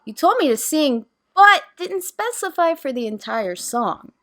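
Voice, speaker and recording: Woman acting a snarky, high-voiced young woman. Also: sing-song, a little slow, a bit bright, exaggerated voice. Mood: defiant. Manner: snarky, dismissive. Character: sarcastic, blunt young woman with simmering resentment. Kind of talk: amateur audio drama acting.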